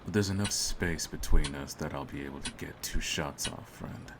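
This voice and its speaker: Man acting a very deep, gravelly, menacing hitman. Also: very noisy. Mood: cold, composed menace. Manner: theatrical, menacing, deliberate phrasing. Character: cold, smug male hitman holding his target at gunpoint. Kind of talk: scripted ASMR audio roleplay.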